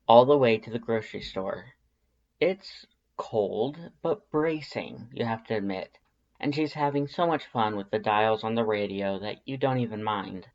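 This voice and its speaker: Expressive story narrator, slightly dull recording. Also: mid-range voice. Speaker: fan fiction narrator.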